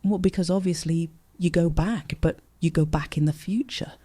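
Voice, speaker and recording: A mellow-toned, brash young woman, played by an actress in a naturalistic audio drama, recorded close and dry. She is impatient, blunt and sarcastic, eager to explain. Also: lowish voice, fairly even intonation, moderate pace, dry recording, close to an everyday voice. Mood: insistent.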